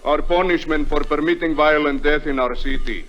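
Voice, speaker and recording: An actor playing a courteous, pious Mexican hotel manager with a Spanish accent, solemn and deferential, in an old-time radio drama. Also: male voice, on the high side, moderate pace, a bit bright, dull audio, natural voice.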